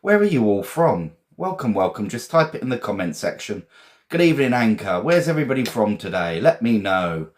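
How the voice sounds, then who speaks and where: A man's voice: fairly low pitch, big pitch swings, a little fast, a little hiss or hum. A man from England with an English accent, teaching a casual live vocabulary lesson in a chatty, encouraging, informal way and often repeating phrases.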